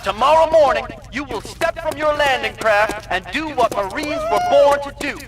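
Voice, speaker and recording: An actor playing a stern, sardonic, gung-ho American Marine commander delivering a rallying military briefing in a video game. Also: male voice, extremely high pitch, moderate pace, a crowd in the background. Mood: stern, rousing.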